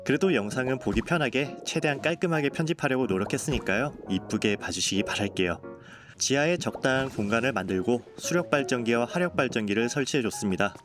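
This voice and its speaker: A man narrating a game building video in a calm, polite, explanatory voice-over. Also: medium pitch. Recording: voice-over with clear background music.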